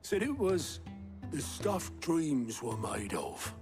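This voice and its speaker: A British actor playing a gruff, wry middle-aged man in a fantasy video game, blunt and colloquial. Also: a little high, very animated, slow pace. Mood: wry, musing. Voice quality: gravelly, creaky. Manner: blunt, colloquial, dryly teasing. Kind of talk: cinematic video game acting. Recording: music behind the voice.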